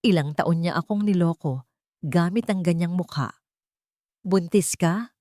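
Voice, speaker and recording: A woman's voice: on the low side, normal speed, mellow, no echo, studio quality. A woman reading a scripted dramatic story as narrator.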